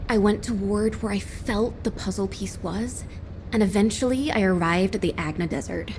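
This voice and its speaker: A woman's voice: mid-range voice, moderate pace, background noise, lightly acted. A woman voicing a free-spirited young female musician who is dreamy and sassy, talking about music and feelings. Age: young adult. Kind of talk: video game cutscene voice acting. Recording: echoey with music behind.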